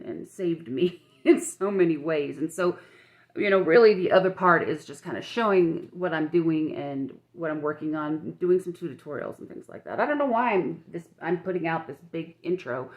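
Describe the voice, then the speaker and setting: An older woman with a croaky, dry-mouthed voice, chatting frankly and rambling with many restarts in a personal vlog filmed on her phone at home. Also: low voice, lively intonation, normal speed, lo-fi sound. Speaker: vlogger.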